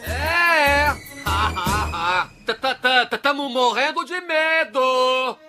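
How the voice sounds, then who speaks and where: A scheming bug gang boss for a Brazilian cartoon: stammering bully, slow wheedling delivery, high voice. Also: animated, heavily acted. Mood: mocking. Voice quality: stutter. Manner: wheedling, taunting. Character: stuttering scheming villain gang leader, mocking, cowardly insect bully. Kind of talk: animated film dub acting. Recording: music behind the voice.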